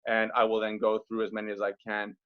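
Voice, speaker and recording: A man's voice: fairly low pitch, completely flat delivery, fast talker. A man moderating an online panel discussion, introducing the speakers in a conversational way with frequent fillers. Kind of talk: introducing panelists on a video call. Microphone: video call. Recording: slightly dull video call audio.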